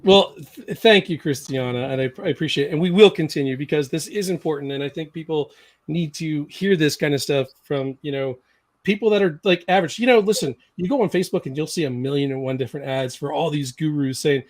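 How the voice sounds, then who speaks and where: Brief: middle-aged male host, slightly high voice, emphatic and animated. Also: a little fast. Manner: animated, emphatic, laughs often. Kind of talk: casual live-stream chat.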